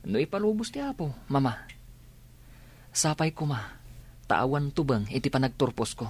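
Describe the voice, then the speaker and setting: A man's voice: medium pitch, sing-song, normal speed, slightly rough. An actor playing an earnest young student son who worries about his mother, in a radio drama. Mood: pleading. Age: young adult.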